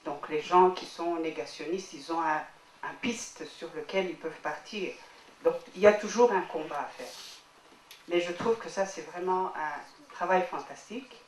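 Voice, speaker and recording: A woman's voice: fairly low pitch, normal speed, a little hiss or hum. A woman from Rwanda speaking from the audience after a film screening, earnest and repeating herself to make her point. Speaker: audience member. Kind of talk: public Q&A remarks. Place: screening hall. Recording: roomy hall, distant mic.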